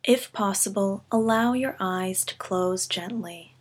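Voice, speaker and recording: Woman calmly guiding a meditation. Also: mid-range voice, breathy edge. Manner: calm, gentle, measured delivery with soft phrase endings. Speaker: meditation guide. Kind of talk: scripted guided body scan meditation.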